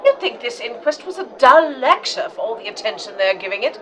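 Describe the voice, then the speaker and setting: A woman playing a prim, indignant and excitable lady sidekick in an audio drama. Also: on the high side, a little fast, a little hiss or hum.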